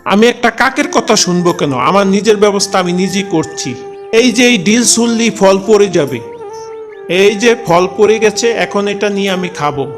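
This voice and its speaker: A man's voice: sits high, animated, normal speed, faint music behind. A man voicing a greedy, rude village boy who pushes his way in a cartoon. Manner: rude, pushy. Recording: roomy echo.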